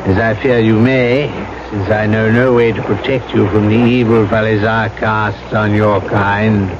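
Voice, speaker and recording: An actor playing a stern, world-weary missionary priest with a formal, dry manner in an old-time radio drama. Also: male voice, on the low side, level tone, measured pace, natural voice. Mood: stern, grave. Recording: muffled, noisy old radio broadcast.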